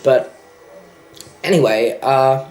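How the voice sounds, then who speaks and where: A man's voice: mid-range voice, slow pace, a little mellow. A young man ranting about a film in a sarcastic, profane, exasperated way. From Australia. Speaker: vlogger. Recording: echoey room.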